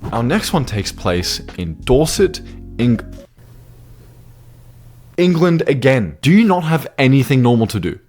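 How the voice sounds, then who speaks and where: A young man narrating comedic video commentary, sarcastic and quick to swear and laugh at his own slips. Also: on the low side. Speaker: YouTuber.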